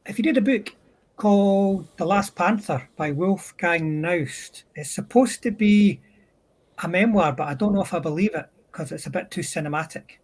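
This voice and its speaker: A man with a fairly high, rough-edged husky voice. He talks casually as a hobbyist guest on a livestream, in a loose conversational style. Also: moderate pace.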